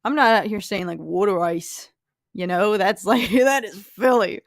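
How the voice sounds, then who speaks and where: A woman's voice: mid-range voice, moderate pace, no echo, studio quality. Chatty young woman, light Philly accent. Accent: light Philadelphia. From Philadelphia, USA. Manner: chatty, giggly, lots of "like" and restarts. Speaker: live stream host. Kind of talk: casual live stream chat reading comments.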